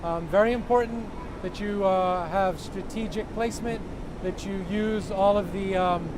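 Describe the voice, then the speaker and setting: High-voiced man pitching his company. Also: steady tone, measured pace, noisy background. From New York. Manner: fluent pitch-like, listing partners. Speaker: company founder. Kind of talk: interview answers.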